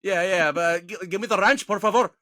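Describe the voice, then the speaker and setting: Bright-voiced man ranting on a podcast. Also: sits high, a little fast, no echo, studio quality. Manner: opinionated, animated, self-mocking. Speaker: podcast co-host. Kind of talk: casual ranting chat.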